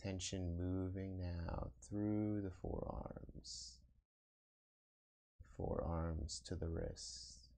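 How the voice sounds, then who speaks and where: A man leading a guided meditation as a teacher, speaking gently and encouragingly in short phrases with pauses. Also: deep voice.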